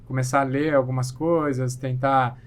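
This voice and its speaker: A man's voice: mid-range voice, level tone, normal speed. A middle-aged Brazilian man answering conversationally as an interview guest, hesitantly rephrasing as he explains. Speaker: podcast guest, plastic surgeon.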